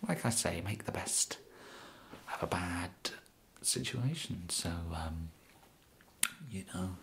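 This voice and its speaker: A young man with an English accent whispering an ASMR roleplay as an awkward, polite kidnapper, halting and apologetic, close to the microphone. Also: low-pitched, vocal fry. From England. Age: young adult. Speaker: ASMR performer. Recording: steady background hiss.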